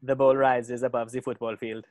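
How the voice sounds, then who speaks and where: A man's voice: mid-range voice, little pitch movement, moderate pace, a little mellow. A man narrating stage directions from a script during a cartoon table read.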